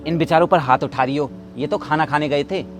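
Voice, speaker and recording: An actor playing a stern resident who scolds a careless driver in a short drama skit. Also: male voice, fairly high pitch, fairly quick, over music, natural voice. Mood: scolding. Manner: scolding and lecturing.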